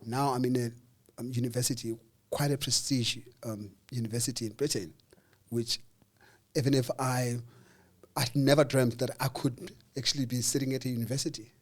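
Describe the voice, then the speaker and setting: A South African man, mid-pitched, recounts his journey in an unhurried, chatty way. He slips into quick little voices for the people he meets and leans on "um" as he gathers his thoughts. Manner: animated, acts out dialogue with voices, hesitant fillers. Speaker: interview guest. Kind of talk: casual storytelling interview.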